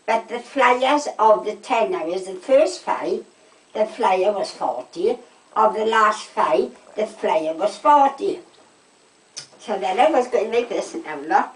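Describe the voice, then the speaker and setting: An older woman with a South Wales Valleys accent, chatting casually and telling anecdotes in an animated way. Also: medium pitch, takes it slowly. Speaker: storytelling interviewee. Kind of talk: casual chat, personal anecdotes. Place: indoors at a house. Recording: roomy echo, distant microphone.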